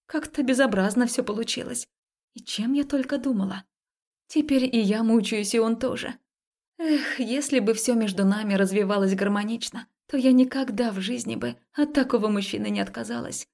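A woman narrating a first-person romance story as an audiobook, expressive and confessional, acting out the dialogue. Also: a little high, creaky.

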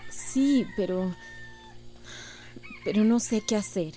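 A woman's voice: medium pitch, takes it slowly, mellow, faint music behind, lightly acted. A woman playing a young, earnest village schoolteacher in a radio soap. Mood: hesitant. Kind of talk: radio soap opera acting.

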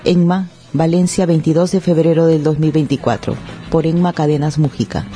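A woman's voice: sits low, steady tone, moderate pace, over loud music. A woman speaking on a radio show, in a poetic recitation or as a panelist.

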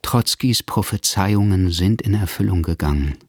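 An actor playing a writer who narrates a literary radio play in a grave, measured, suspenseful manner. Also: male voice, low voice, dry recording, studio quality. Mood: reflective. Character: grave male writer narrating his story. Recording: clear music behind.

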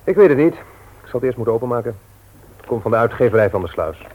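An actor playing a polite younger bookshop nephew who reasons with quiet insistence in a Dutch radio play. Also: male voice, medium pitch, animated, quick pace, phone-quality sound, natural voice. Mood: polite, curious.

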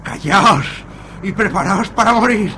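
Evil little sewer fetus monster, high voice, slow threats, inverted old-timey phrasing, radio soap. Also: male voice, animated, pushed and bright, a little hiss or hum, heavily acted, poor audio. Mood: menacing. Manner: inverted, archaic word order in threats. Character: menacing undead male fetus monster, later sweet grandson. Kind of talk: amateur radio soap acting.

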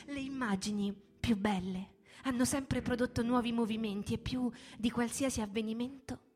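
A woman's voice: fairly high pitch, steady tone, normal speed, a little mellow. Solemn woman reading dramatically over music. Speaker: stage performer and narrator. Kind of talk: dramatic literary reading, theatrical monologue. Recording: music bed under the voice. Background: guitar music.

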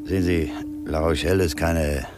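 An actor playing a kindly, gruff middle-aged ship's captain in a 1950s German radio drama, musing and hesitant. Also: male voice, a bass voice, steady tone, moderate pace, noisy background. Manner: hesitant, musing, repeats himself.

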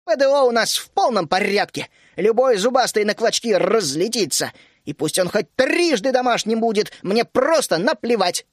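A man's voice: high voice, expressive, normal speed, no room sound, studio quality. Man doing a fiery scolding gnome housewife. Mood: furious. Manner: shouting, scolding, indignant. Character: stern bossy female gnome housewife, fiery.

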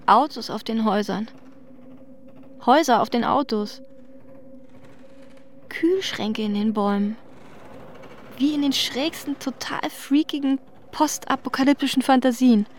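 A woman's voice: a little high, measured pace, long pauses, a little hiss or hum, lightly acted. An actress playing a shaken professional woman in a German radio drama, telling her story in a reflective, descriptive first person. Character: shaken professional woman visiting a wrecked neighborhood.

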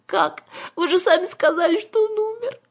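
Женщина играет робкую, впечатлительную девочку из книги, говорит жалобно и искренне. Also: female voice, expressive, normal speed, slightly rough. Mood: tearful. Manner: plaintive, earnest, high-strung. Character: timid, emotional young girl pleading for her lost puppy.

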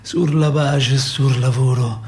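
A man's voice: medium pitch, fairly even intonation, takes it slowly, a little mellow, close to an everyday voice. An actor playing an emotional, nostalgic Roman father in a theatrical radio monologue, colloquial and intimate, with a Roman accent. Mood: bitter lullaby. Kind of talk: theatrical radio monologue, reciting verse and memories.

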